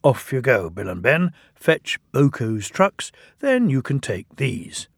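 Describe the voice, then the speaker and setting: A senior steam engine from a children's story, as a man plays him. He is stern yet kindly, scolding like a father at a measured pace. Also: mid-range voice, very animated, husky, no echo, studio quality, heavily acted. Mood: indulgent. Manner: severe, fatherly.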